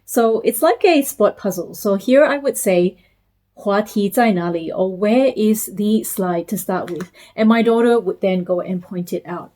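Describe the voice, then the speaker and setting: Woman from malaysia vlogging, calm steady explaining, mid pitch. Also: a little slow. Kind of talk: scripted talk to camera.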